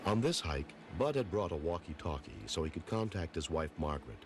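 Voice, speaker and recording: A man's voice: deep voice, lively intonation, a little fast, background noise. A man narrating a documentary about true events in a dramatic, scripted style.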